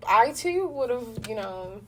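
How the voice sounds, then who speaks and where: A woman's voice: fairly high pitch, slow pace, distant mic. Casual, candid woman, slightly off-mic. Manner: candid, animated storytelling with slang and swearing. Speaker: interview guest, voice actor in a radio play. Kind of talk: casual chat.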